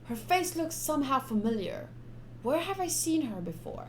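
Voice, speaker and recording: A woman streaming a game, reading story text aloud in an expressive, chatty way with casual asides. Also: high-pitched, moderate pace, slight background noise, rough recording. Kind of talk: live visual novel playthrough, reading aloud with casual asides. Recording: soft music behind voice. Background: game music.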